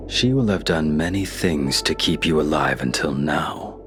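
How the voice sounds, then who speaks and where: An actor playing a grave, weary swordsman in a video game, speaking in a solemn, halting, hushed way. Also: a bass voice, background noise. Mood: grave, reflective. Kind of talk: dramatic video game voice acting. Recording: music under the voice.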